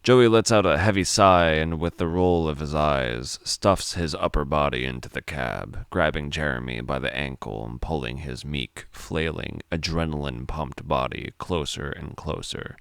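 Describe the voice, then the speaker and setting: An extremely low-voiced man narrates a dramatic story. His voice is smooth and resonant, recorded close and dry. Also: normal speed, few pauses, a bit bright, no echo.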